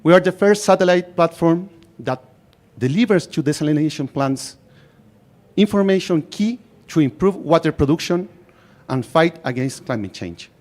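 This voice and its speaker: Higher-voiced Chilean man pitching on stage. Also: animated, darkish tone. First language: Spanish. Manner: emphatic, short deliberate phrases in non-native English. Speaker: startup founder presenting. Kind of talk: rehearsed pitch presentation.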